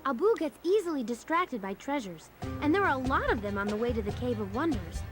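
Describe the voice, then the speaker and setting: Helpful young female game guide, instructive, high voice with music underneath. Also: very animated, fairly quick. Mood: helpful, urging. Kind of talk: video game tutorial voice acting.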